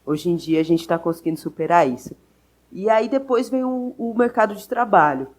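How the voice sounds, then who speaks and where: A woman's voice: lowish voice, expressive, moderate pace, a little mellow. A young woman guest in an interview, speaking candidly and reflectively about her life. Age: young adult. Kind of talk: personal storytelling in an interview.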